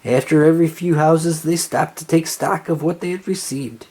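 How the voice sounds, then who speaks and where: A storybook reader narrating aloud for children in an expressive read-aloud style. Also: medium pitch, moderate pace, slightly rough.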